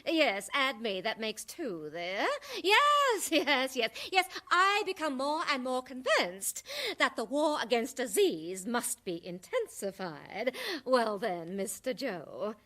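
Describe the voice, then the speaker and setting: A woman's voice: fairly high pitch, big pitch swings, takes it slowly, pushed and bright, exaggerated voice. An actress playing a vain, gushing queen in a satirical radio drama, regal, theatrical and pompous. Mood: excited, imperious.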